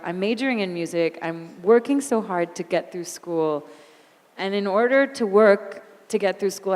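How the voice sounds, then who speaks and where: A woman in her thirties with a raspy voice, speaking candidly as an interview guest and telling animated stories about her life. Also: fairly low pitch. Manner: candid, animated storyteller who laughs easily. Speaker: interview guest, singer and actor. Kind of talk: onstage conversation. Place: event hall. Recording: long hall reverb.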